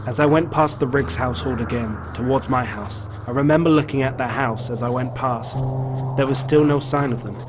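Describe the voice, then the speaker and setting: A man playing a worried small-town man who tells his story in a video game, gentle when he talks to children. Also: mid-range voice, fairly quick, background noise, close to an everyday voice. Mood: somber, reflective. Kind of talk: narrated game story with acted dialogue.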